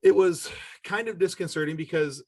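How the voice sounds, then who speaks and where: A man's voice: sits high, no room sound, studio quality. A middle-aged man telling a personal story as a podcast guest, talking conversationally and often stopping to correct himself. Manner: halting, conversational, self-correcting delivery.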